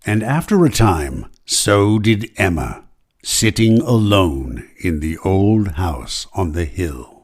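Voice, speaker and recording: A man playing a brooding old-time radio mystery narrator, telling the story in a deliberate, dramatic way. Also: low voice, sing-song, darkish tone, full character voice. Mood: ominous.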